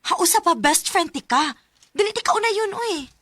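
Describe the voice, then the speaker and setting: A woman's voice: extremely high pitch, animated, normal speed, a bit bright, dry recording, studio quality. A woman playing a sensible, loyal young student in a radio drama, earnest and conversational, with a Cebuano accent. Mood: earnest defensive.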